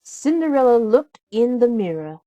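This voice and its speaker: A woman reading a children's storybook aloud as narrator, in an expressive, deliberate storytelling manner. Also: on the high side, no echo. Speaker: storybook reader.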